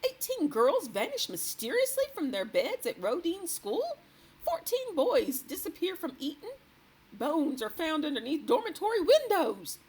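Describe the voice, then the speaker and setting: A refined, imperious queen, as a woman reading aloud puts her on, high and exclamatory with very lively swings in pitch. She speaks with genteel authority. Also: unhurried, low-quality recording. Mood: alarmed. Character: distressed, imperious older female queen.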